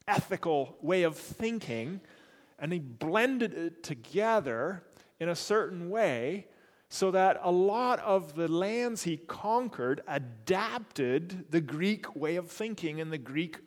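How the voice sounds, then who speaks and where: A man's voice: fairly high pitch, big-room sound. A man giving an unscripted Bible lesson, explaining patiently and repeating phrases for emphasis, with occasional fillers. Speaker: Bible teacher.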